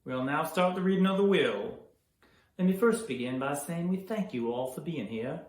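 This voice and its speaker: A man's voice: on the high side, natural voice. A man acting a businesslike lawyer in a period drama, with a formal, official manner. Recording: echoey room.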